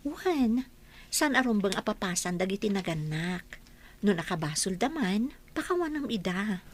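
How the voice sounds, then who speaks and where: A woman with an Ilocano accent playing a gentle, forgiving young wife in a radio drama. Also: medium pitch, very animated, moderate pace. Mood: gently persuading.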